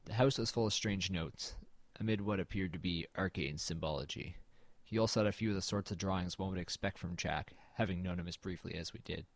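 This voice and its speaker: Man playing an uneasy, deep-voiced horror narrator. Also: brisk, hardly any pauses, dry recording. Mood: uneasy, brooding. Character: uneasy male narrator exploring a dead man's house. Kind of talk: first-person horror audio drama narration.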